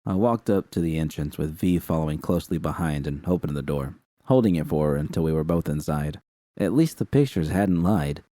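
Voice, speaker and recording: A man narrating a first-person fan story in a steady, unhurried reading. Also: rumbling low, no room sound, clean studio sound. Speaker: fan fiction reader.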